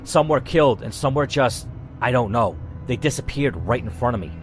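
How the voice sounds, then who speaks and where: A man's voice: mid-range voice, fairly quick, slight background noise, natural voice. A man voicing a terrified, guarded woman scientist in her late thirties from a horror game story. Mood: shaken, tearful. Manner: fearful, guarded.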